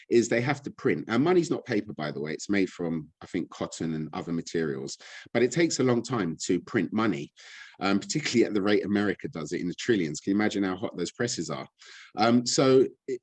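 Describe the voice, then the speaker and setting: Low-voiced ranting man. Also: a little mellow, dry recording. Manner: ranting, frustrated, blunt. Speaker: guest speaker on money and gold. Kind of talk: impassioned casual talk.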